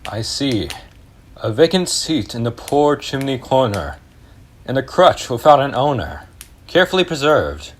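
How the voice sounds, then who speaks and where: A man's voice: mid-range voice, big pitch swings, a little slow, slight background noise. A young man playing a hearty, laughing Christmas spirit on a school stage, who turns grave at times. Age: young adult. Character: booming jovial male Christmas spirit. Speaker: student actor.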